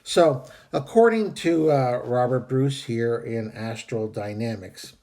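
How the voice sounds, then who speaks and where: A man with a hoarse voice from a cold, giving a casual spoken lesson in a relaxed, halting way with frequent fillers. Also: mid-range voice. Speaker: astral projection teacher. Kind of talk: casual instructional talk.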